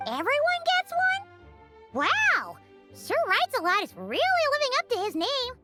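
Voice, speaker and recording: An actress voicing a tiny, excitable girl companion who refers to herself in the third person. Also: extremely high pitch, normal speed, loud music behind the voice, full character voice. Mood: excited. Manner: bubbly, speaks of herself by name.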